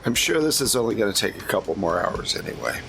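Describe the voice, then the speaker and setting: A man's voice: lowish voice, very animated, quick pace, gravelly, background noise. A man talking casually as a player on a tabletop game podcast.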